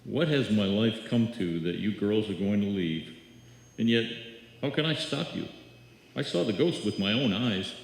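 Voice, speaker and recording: An actor playing a wry, self-pitying musician neighbor with a hesitant, mournful delivery in a live radio comedy. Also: male voice, on the low side, lively intonation, normal speed, vocal fry. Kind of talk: live old-time radio comedy acting. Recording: echoey hall, live stage performance.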